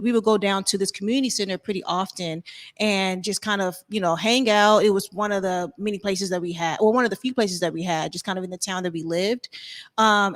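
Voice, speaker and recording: A woman from Arkansas, a community advocate, answering interview questions in a soft, storytelling way with many pauses and ums. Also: mid-range voice. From Arkansas, USA. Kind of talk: casual interview talk.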